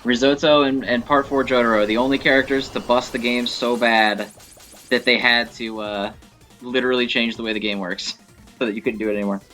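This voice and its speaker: A man's voice: mid-range voice, fairly even intonation, brisk, sharp tone, faint music behind. A man giving casual, opinionated gameplay commentary, thinking aloud in a conversational way. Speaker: gaming video host.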